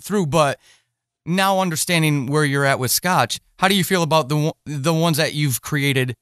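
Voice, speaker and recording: A male podcast host with a fairly high, very smooth voice in a dry recording. He chats casually with his guest and listeners. His delivery is earnest and encouraging. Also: normal speed, fairly bright tone, dry recording. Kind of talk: casual conversational interview.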